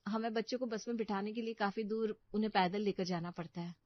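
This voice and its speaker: A woman's voice: mid-range voice, steady tone, quick pace, no room sound, natural voice. A woman voicing a dutiful young daughter-in-law in a Hindi cartoon, speaking respectfully as she explains things. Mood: explaining. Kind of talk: Hindi cartoon family story dubbing. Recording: dull, muffled studio voice track.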